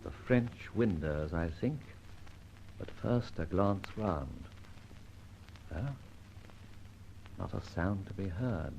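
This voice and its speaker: An actor playing a jaunty, cheeky gentleman rogue with theatrical delivery in an old-time radio serial. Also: sits low, lively intonation, slow pace, creaky, pauses often, slight background noise, exaggerated voice, rough recording. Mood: stealthy muttering. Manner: theatrical, breezy, muttering asides to himself.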